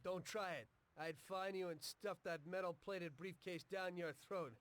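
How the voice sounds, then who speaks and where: A man's voice: high voice, steady tone, normal speed, fairly bright tone. A man playing an earnest, plain-spoken 1930s mobster, once a taxi driver, in a story-driven video game. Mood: wary, dry. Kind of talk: video game cutscene acting and story narration.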